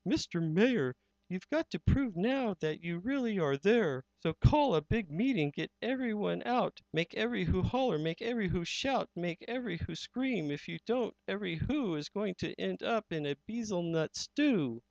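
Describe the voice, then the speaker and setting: A gentle giant of an elephant pleads earnestly in a lifted, lively voice, as a man puts him on. It has a storybook feel. The sound is dry, dull and lo-fi. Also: sits high, moderate pace, no room sound, pitched above the performer's own voice. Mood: pleading. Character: big gentle male elephant.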